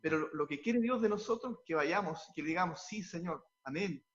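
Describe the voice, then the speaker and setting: A man's voice: a little high, expressive, fairly quick, a bit bright, highs cut off. A man, a pastor, answering interview questions earnestly, often repeating words as he restarts, and sliding into a preaching tone.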